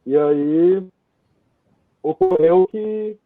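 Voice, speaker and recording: A man with a Brazilian Portuguese accent giving an informal, halting interview answer as a guest, thinking aloud as he goes. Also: high-pitched, no echo, narrow, tinny audio, soft background music. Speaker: guest, theatre writer and director. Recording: thin, band-limited call audio, dry.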